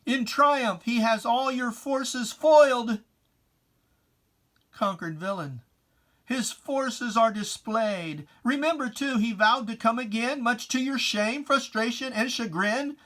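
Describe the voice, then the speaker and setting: A person giving a dramatic, solemn reading of religious verse, declaiming emphatically with deliberate pauses and archaic preacherly diction. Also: mid-range voice, fairly bright tone. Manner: archaic preacherly diction, addressing a foe directly.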